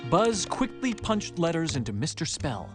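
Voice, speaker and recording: A man narrating a scripted children's read-along story in an expressive, animated storytelling manner. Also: fairly high pitch, moderate pace. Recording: music behind the narration.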